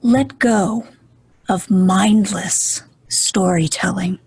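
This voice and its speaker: Slow, breathy woman guiding meditation. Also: medium pitch. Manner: gentle, soothing, deliberate with pauses. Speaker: meditation guide.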